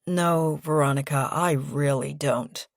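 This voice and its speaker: A woman's voice: low-pitched, unhurried, vocal fry, no room sound, studio quality, pitched below the performer's own voice. A woman voicing a curt, protective, angry young man in an audiobook, blunt and snapping.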